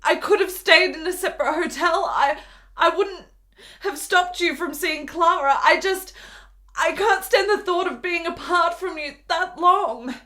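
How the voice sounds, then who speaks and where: An actress playing a frail, needy dying woman in a period radio drama, halting and beseeching in her delivery. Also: very high voice, pushed and bright, heavily acted. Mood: pleading, sorrowful.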